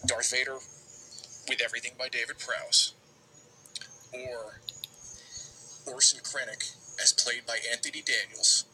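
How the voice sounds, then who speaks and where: A man leaving a casual, rambling voicemail for a podcast, halting and repeating words as he goes. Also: mid-range voice, steady tone, sharp tone. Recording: noisy amateur voicemail recording.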